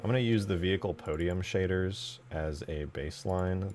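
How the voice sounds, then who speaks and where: Low-voiced man thinking aloud over music. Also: normal speed, darkish tone. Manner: informal, sweary, mumbles thoughts aloud while working. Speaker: game modder, streamer. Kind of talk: casual live commentary while working on mods.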